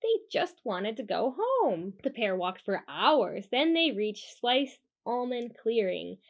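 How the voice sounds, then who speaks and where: A woman reading a children's storybook aloud in an expressive, emphatic way, with informal asides. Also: a little high, slow, muffled recording. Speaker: children's storybook reader and host. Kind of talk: animated children's read-aloud with casual asides.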